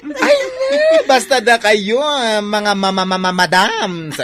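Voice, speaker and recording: Flamboyant Ilocano parlour owner, wildly swooping voice. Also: male voice, high voice, very animated, fairly bright tone, full character voice. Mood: gushing. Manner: theatrical exclamations, drawn-out words. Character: flamboyant, gushing, gossipy beauty parlour owner. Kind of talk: radio drama acting.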